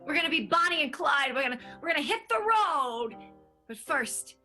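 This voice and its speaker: A woman playing a hot-tempered, murderous stage manager in an improvised radio play, intense and halting. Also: high-pitched, very animated, pushed and bright, soft background music, heavily acted. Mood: tense, determined.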